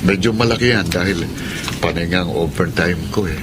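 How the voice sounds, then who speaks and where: A man's voice: low-pitched, normal speed, background noise, natural voice. A man playing an apologetic husband, coaxing and pleading for forgiveness in a Tagalog radio drama.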